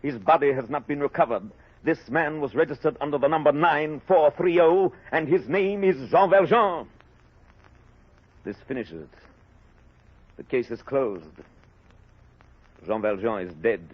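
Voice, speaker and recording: A stern male official, slightly high-pitched, speaking formally in radio drama style. The recording is muffled and vintage. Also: animated, unhurried, pauses often, breathy edge. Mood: grim finality. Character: stern, official man closing a dead convict's case. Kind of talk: old-time radio drama acting.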